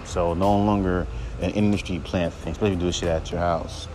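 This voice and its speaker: A man with a deep voice hosting a podcast, talking bluntly and profanely in a casual solo rant. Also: fairly even intonation, fairly quick, darkish tone, faint music behind. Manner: blunt, profane, mocks others in high mimicking voice. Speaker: podcast host.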